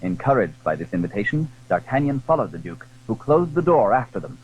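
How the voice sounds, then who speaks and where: A man narrating a dramatized period story in a formal, measured, expressive storytelling style. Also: mid-range voice, husky. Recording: dull, hissy old audio drama recording.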